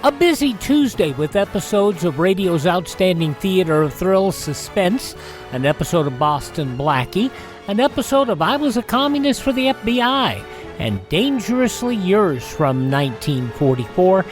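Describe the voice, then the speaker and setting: A man's voice: high voice, sing-song, normal speed. A man hosting a radio show, reading scripted items about dates in history. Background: music.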